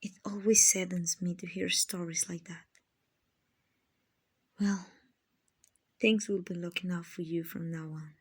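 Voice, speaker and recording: Kindly female vampire acted by a woman, ASMR roleplay, husky and breathy, half-whispered, very slow, soothing, genteel. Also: on the low side, steady tone. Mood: gentle, hospitable. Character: gentle, kindly female vampire, soothing rich slave-buyer.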